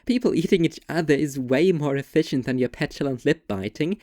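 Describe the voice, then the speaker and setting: A performer playing a young woman in a scripted literary debate about ideas, speaking in an articulate, opinionated and deliberate way with a sardonic edge. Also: medium pitch, mellow, dry recording, studio quality, close to an everyday voice. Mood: argumentative, sardonic. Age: young adult. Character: two young women debating art, intense and sardonic. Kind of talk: scripted literary dialogue, argumentative monologue delivery.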